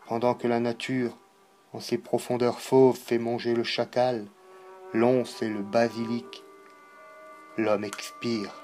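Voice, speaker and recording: A man recites verse in a grave, declamatory way over faint music. His delivery is slow and deliberate, broken by pauses, and his pitch stays within a fairly narrow range. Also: mellow. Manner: solemn, declamatory, measured phrasing with pauses. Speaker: poetry reciter. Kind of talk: dramatic poetry recitation.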